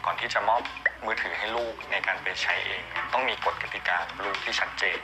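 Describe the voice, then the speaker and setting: Deep-voiced male expert explaining. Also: fast talker. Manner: steady, explanatory, with frequent spoken fillers. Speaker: expert guest. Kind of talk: explanatory interview answers. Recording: loud music under the voice.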